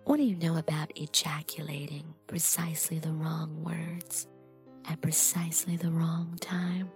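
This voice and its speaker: A woman with a breathy voice that often slips into whispering, delivering scripted adult hypnosis audio in a dominant, deliberate, commanding manner. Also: deep voice, level tone, darkish tone, faint music behind. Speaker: erotic hypnosis performer.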